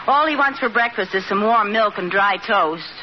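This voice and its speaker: An actress playing a teasing, sarcastic but doting wife in an old-time radio comedy. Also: female voice, medium pitch, lively intonation, normal speed, lightly acted. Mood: wry, exasperated. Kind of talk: 1940s-50s radio comedy acting. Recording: muffled, very noisy vintage radio recording.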